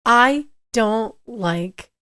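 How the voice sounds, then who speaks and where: A woman with a raspy voice co-hosting a podcast in casual chat, reacting with quick short exclamations. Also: fairly high pitch, lively intonation, sharp tone, dry recording, studio quality. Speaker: podcast co-host.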